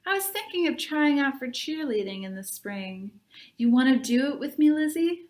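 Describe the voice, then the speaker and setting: A woman's voice: sits high, very animated, moderate pace, a little mellow, voice pushed up. A woman voicing a sardonic, blunt schoolgirl from a children's book, with a dry, pointed delivery.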